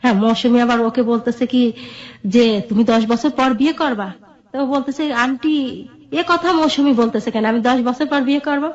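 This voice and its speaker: Middle aged bangladeshi lady telling her life story, pretty high voice, kinda dull lofi audio. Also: normal speed. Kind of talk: personal storytelling in an interview.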